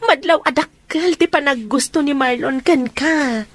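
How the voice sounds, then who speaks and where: A woman's voice: sits high, measured pace, dry recording. A woman acting as a teasing young Filipino best friend in a radio drama.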